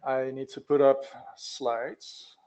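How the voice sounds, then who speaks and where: A man's voice: mid-range voice, flat delivery, slow, darkish tone. A man moderating a technical working group meeting and giving informal remarks as a participant.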